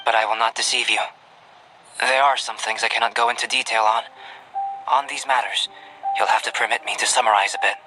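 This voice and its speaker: Man voicing a calm, scholarly young alchemist. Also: medium pitch, noisy background, lightly acted. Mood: frank, measured. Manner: measured, formal, composed, precise. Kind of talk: video game cutscene acting. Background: game music.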